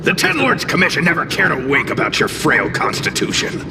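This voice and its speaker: A man voicing a cocky, scornful little demon in a video game, brash and sneering, with a gravelly voice. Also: on the high side, huge pitch swings, a little fast, noisy background. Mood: gruff, grudging.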